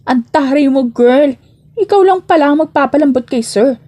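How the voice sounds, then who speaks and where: Gossipy coworker pal, acted by a woman, sassy and excitable, pitched high, for audio romance. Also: moderate pace, close to an everyday voice. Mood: sassy teasing. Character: gossipy, cheeky office friends teasing a coworker.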